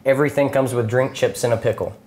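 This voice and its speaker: A man's voice: medium pitch, fairly even intonation, fast, a crowd in the background. A man in his thirties, a chef, casually explaining his food as a guest in a cooking demonstration. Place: indoor studio kitchen. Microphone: lapel mic.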